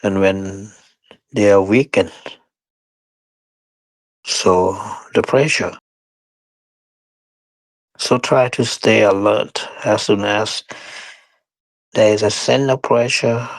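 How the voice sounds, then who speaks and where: A man teaching guided meditation in short, unhurried phrases with pauses and non-standard English grammar. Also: on the low side, no echo, low-quality recording.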